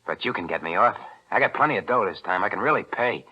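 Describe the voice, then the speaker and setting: A cold-blooded gangster from a 1940s radio thriller, talking tough street slang in a fairly low voice. The sound is muffled, like an old broadcast. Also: male voice, lively intonation, fast, breathy edge. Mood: wheedling. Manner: slangy tough-guy talk.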